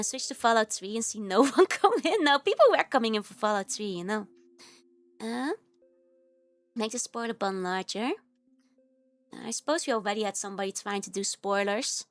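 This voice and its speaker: A woman's voice: mid-range voice, vocal fry, no room sound. A young woman with a Dutch accent chatting casually on a livestream, giggly and rambling with lots of fillers. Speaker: VTuber streamer.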